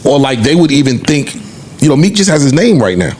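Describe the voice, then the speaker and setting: A man's voice: medium pitch, sing-song, fairly quick, darkish tone. A man speaking as a podcast panelist in casual discussion.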